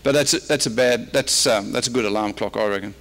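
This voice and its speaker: A man's voice: mid-range voice, fairly quick, a bit bright. An Australian man presenting as a historian in an informal slide-show talk, casual and dryly humorous. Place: convention presentation room, speaking at a microphone.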